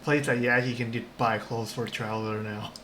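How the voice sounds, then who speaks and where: A woman giving casual, offhand commentary while streaming a video game, speaking haltingly. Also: rumbling low, steady tone, fairly bright tone, a little hiss or hum. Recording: game music behind the voice.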